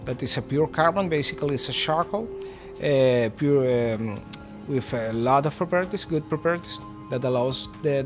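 A man, a chemical engineer speaking carefully and searching for his words in an interview. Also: mid-range voice. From Venezuela. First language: Spanish. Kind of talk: documentary interview. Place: indoor maker workshop. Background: background music.

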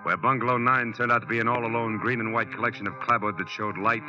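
A man's voice: medium pitch, level tone, fast, over music, lightly acted. An American man playing a cynical, wisecracking private detective in 1940s radio style, wry and clipped. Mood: tense narrating. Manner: wry, clipped, hard-boiled. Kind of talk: 1940s radio acting and first-person narration. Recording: muffled old-time radio recording.

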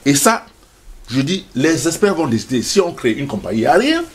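A man's voice: a little high, sing-song, moderate pace, slight background noise. An older man speaking as a studio guest in a passionate debate, emphatic and stammering on repeated words.

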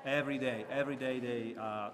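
Polish-accented young guy, halting english, hall reverb and a crowd behind. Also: medium pitch, steady tone. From Poland. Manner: halting, searching for English words. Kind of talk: casual chat. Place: large wood-panelled parish hall.

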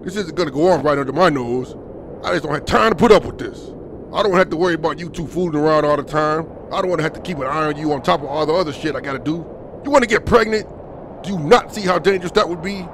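A stern, protective father voiced by a man scolds emphatically in a comic dub. His voice sits slightly high, over clearly audible noise. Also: quick pace, lightly acted. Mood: exasperated, stern. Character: stern, protective, exasperated father of teenage girl. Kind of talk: amateur comic dub acting.

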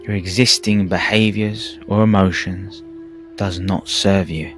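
A man with a fairly low voice leads a guided meditation. His delivery is calm and soothing, with measured phrases and pauses between them. Soft music plays faintly behind him. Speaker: meditation teacher. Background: background music.

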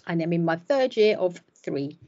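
A middle-aged woman with a fairly low voice, Cypriot Greek her first language, talking in a loose, self-deprecating way full of restarts. She is speaking from home on a laptop webcam. The video call audio sounds dull and lo-fi. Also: animated, moderate pace, a little mellow. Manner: chatty and self-deprecating, with many fillers and restarts. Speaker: ordinand and hairdresser. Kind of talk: casual interview on a video call. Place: home room by a window.

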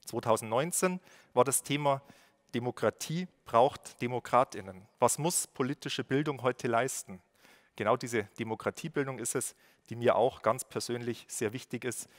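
A man in his forties from Lower Bavaria with a Bavarian accent, giving a measured formal welcome speech at a lectern, with the odd filler. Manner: measured, read-out delivery with occasional fillers. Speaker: city education official giving a welcome speech. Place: stage at a lectern, indoors. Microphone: lectern microphone.

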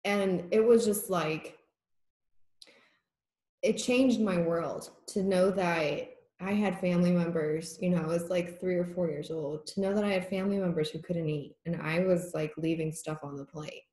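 A woman's voice: lowish voice, normal speed, warm tone. A young woman from Massachusetts whose first language is Korean, chatting at home over a video call in a rambling way, laughing often and using many filler words. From United States, Massachusetts. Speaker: conversation guest. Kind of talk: casual reflective chat. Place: home room. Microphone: video call laptop mic. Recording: roomy echo on a video call.

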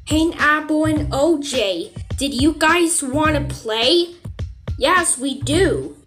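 A woman delivering amateur cartoon dialogue in a simple, drawn-out manner. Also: high-pitched, animated, a bit bright, faint music behind.

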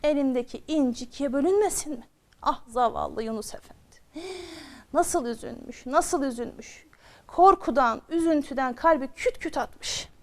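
A woman's voice: sits high, moderate pace. A woman telling a children's story expressively, repeating phrases for drama and addressing her young listeners directly.

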